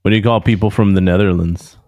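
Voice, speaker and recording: A man co-hosting a casual podcast, talking in halting, rambling bursts. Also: low voice, fairly even intonation, darkish tone, dry recording, highs cut off. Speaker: podcast co-host. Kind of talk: casual chat.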